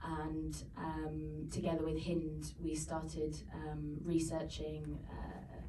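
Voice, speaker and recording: A woman's voice: low voice, completely flat delivery, slow, mellow, slight background noise. A British woman from the UK, a filmmaker answering questions at a screening Q&A, hesitant with many ums and restarts. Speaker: filmmaker on a panel. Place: venue hall. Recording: roomy hall, picked up from far off.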